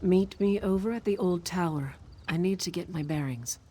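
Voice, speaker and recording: A woman playing an earnest, determined forest witch ally in a fantasy video game, with a measured delivery. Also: on the low side, dark tone, breathy edge. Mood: resolute. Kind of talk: video game character acting.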